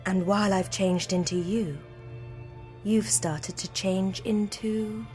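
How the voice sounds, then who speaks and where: A woman's voice: medium pitch, fairly even intonation, slow pace, a little mellow, faint music behind, close to an everyday voice. A woman playing a gloating, obsessive identity thief, sweetly mocking and possessive, in an audio drama. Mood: creepy, adoring. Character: unhinged, gloating woman stalker stealing another's identity.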